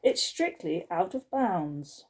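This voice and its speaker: A woman's voice: lowish voice, lively intonation, mellow. A woman playing a pompous, fuming housekeeper. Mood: stern. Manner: indignant, drawn-out scolding. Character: pompous, furious older woman hotel housekeeper.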